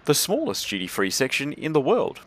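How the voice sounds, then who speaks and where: A man's voice: on the high side, wildly swooping pitch, brisk, noisy background. A man narrating his travel vlog in a chatty, wry voice-over. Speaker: travel vlogger. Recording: music bed under the voice.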